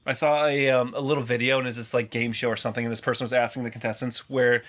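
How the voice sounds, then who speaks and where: A man's voice: medium pitch, level tone, fast, fairly bright tone. American man, thirties, mid-range voice, casual explainer, rambling reaction chat. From United States. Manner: explains and analyzes in loose, rambling sentences. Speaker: reaction video co-host.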